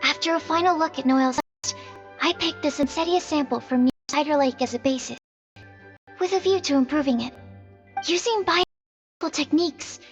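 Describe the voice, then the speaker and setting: Game alchemist played by a woman: young, high-pitched, earnest, socially awkward, faint music behind. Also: close to an everyday voice. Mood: studious. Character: shy, bookish young female alchemist. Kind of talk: anime game voice acting.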